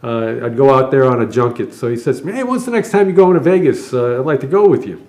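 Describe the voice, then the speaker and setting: An older man with a mid-pitched voice chats as a podcast co-host. He tells stories from his working life in a casual, conversational way, wandering through small details as he goes. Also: lively intonation, quick pace, darkish tone. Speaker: retired air traffic controller, podcast co-host. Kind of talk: casual chat and anecdote.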